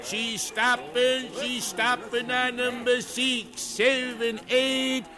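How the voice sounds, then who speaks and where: An actor playing a shifty, bullying carnival barker in 1950s radio drama, with sing-song hawking patter. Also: male voice, extremely high pitch, level tone, slow pace, music in the background. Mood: brisk hawking. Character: shifty bullying male carnival barker, wheedling then cowed.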